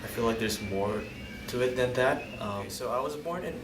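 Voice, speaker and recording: A man's voice: mid-range voice, lively intonation, normal speed, music in the background. A young man from Indonesia telling his own story in an interview, hesitant, often restarting and searching for words. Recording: roomy, distant mic, ambient noise.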